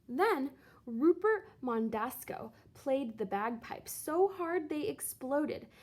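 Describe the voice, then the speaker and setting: High-voiced woman reading a storybook aloud. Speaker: teacher reading a picture book aloud. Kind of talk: expressive storybook read-aloud.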